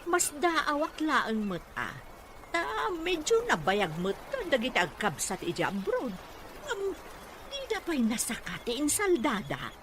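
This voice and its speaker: A woman's voice: sits high, huge pitch swings, unhurried, over a noisy crowd, heavily acted. A woman with a Filipino accent playing a nosy village gossip in a conversational audio drama. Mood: gossipy. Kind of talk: conversational Ilocano radio drama acting. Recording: faint background noise.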